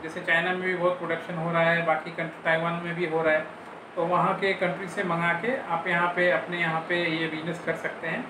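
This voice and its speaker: A middle-aged man giving halting, explanatory advice to camera, repeating phrases as he goes, as a business video host. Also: high-pitched. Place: small room at home, indoors. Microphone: clip-on lapel mic. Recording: roomy echo, clearly noisy background.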